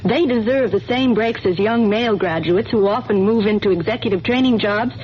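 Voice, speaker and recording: Woman, announcer, persuasive scripted message, mid pitch, muffled broadcast, slight noise. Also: brisk. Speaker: public service announcer. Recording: dull, faintly noisy radio spot.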